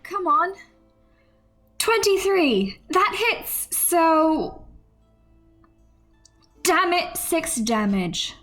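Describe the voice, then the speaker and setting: A woman plays an eager, theatrical tabletop player. The voice is high and unhurried, with faint music behind it. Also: big pitch swings, fairly bright tone, heavily acted.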